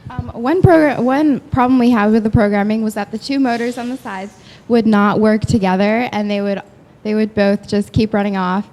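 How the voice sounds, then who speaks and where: A woman's voice: medium pitch, fairly even intonation, normal speed, darkish tone. A young woman from Virginia, hesitantly explaining a programming problem. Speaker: student presenter. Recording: reverberant hall.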